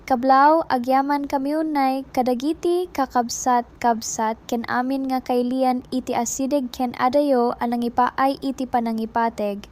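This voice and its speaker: A woman's voice: high voice, fairly even intonation, measured pace, darkish tone. A woman announcer delivering a scripted greeting for a radio drama program.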